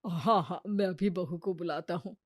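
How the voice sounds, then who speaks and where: A performer voicing a mild, kindly family man in a Hindi cartoon story, soft-spoken and reasoning. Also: medium pitch, normal speed, dry recording, studio quality, natural voice. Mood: welcoming.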